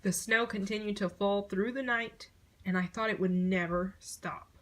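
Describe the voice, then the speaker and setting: Bright-voiced woman reading a children's story. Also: mid-range voice, normal speed. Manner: expressive storytelling, acting out short exclamations. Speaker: picture book reader. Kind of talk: scripted children's story read-aloud.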